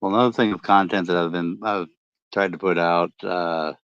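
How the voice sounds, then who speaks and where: A middle-aged man with a deep voice, chatting casually late at night and rambling with many fillers and restarts. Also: steady tone, moderate pace, a bit bright, smooth, dry recording. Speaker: podcast host and ham radio vlogger. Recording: dull lo-fi dry sound.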